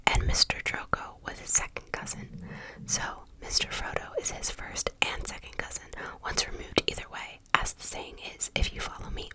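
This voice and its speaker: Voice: a bit bright, lightly acted, rough recording. A reader whispering the part of a gossipy, self-assured old male hobbit gardener, rambling folksy and opinionated.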